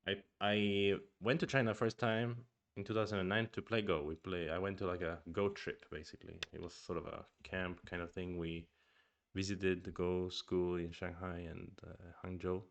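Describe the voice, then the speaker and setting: A man's voice: sits low, little pitch movement, moderate pace, creaky. A man streaming a review of his own go games, thinking aloud in a casual chat with murmured asides and restarts; his first language is Swedish.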